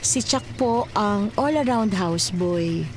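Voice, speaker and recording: A woman's voice: medium pitch, expressive, takes it slowly, darkish tone, slight background noise, low-quality recording. A woman playing a friendly, courteous housemaid in a Filipino radio drama.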